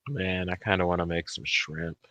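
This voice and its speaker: A man's voice: low voice, fairly even intonation, moderate pace, sharp tone, no room sound. A man co-hosting a livestream in casual banter, talking bluntly with slang and swearing. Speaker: livestream co-host.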